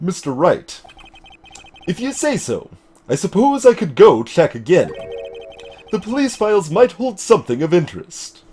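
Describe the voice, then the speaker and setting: A man voicing a grave older lawyer in a video game, speaking in a measured, formal way. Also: high-pitched, big pitch swings, darkish tone, soft background music. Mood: reluctant, formal. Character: grave, formal older male lawyer recounting old cases.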